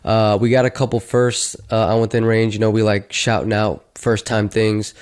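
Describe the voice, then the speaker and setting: A man hosting a podcast, opening the episode with relaxed, upbeat introductions and filler words. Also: on the low side, moderate pace, smooth. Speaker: podcast host. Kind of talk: casual podcast chat.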